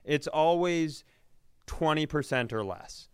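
A man with a clear, resonant voice set a little high, recorded very dry. He tells casual comic stories as a podcast co-host, animated and exasperated, swearing and making fun of himself. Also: slow pace, no echo. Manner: self-deprecating, profane. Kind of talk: comedic storytelling in casual podcast chat.

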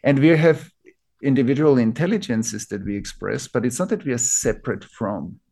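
A man from Austria with an Austrian accent, a native German speaker, explaining ideas reflectively as an interview guest, building his thoughts in short, halting phrases. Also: medium pitch, vocal fry, darkish tone, husky. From Vienna, Austria. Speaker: interview guest, teacher of collective trauma healing.

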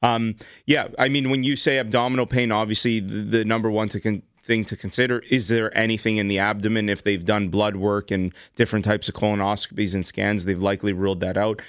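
A man in his thirties with a fairly low, crisp, clear voice explains health matters to callers in a measured way. He sounds close and dry, as in a radio studio. He often restarts his sentences. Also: flat delivery, dry recording. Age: 30s. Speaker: doctor and radio show host. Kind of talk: call-in health advice.

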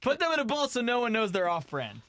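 A man rambles through absurd jokes as a podcast co-host. His voice is bright and forward, recorded close and dry with no room sound. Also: very high-pitched, wildly swooping pitch, fast, no echo. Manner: jokey, improvises silly bits. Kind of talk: casual comedic chat.

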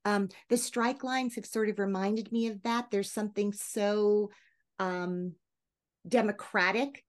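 A woman's voice: medium pitch, fairly even intonation, unhurried. A woman with an American accent hosting a casual interview podcast, effusive and appreciative in her way of talking. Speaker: podcast host.

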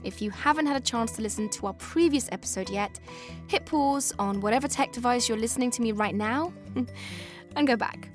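A British woman narrating a scripted podcast as host, in an expressive, conversational way that speaks straight to listeners. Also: fairly high pitch, normal speed, over music. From born in Afghanistan, moved to the UK.

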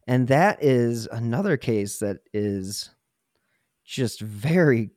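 A man hosts a casual true-crime podcast in a very dry, close recording. His voice sits at an average male pitch. He talks emphatically and can turn drily sarcastic. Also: lively intonation, takes it slowly, darkish tone, dry recording. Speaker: podcast host.

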